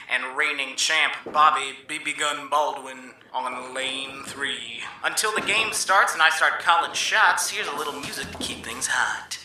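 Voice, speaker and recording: A man's voice: a little high, pushed and bright. An actor playing an upbeat 1950s bowling alley DJ in a radio drama, announcing with punchy showman patter. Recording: echoey distant PA-like sound with music.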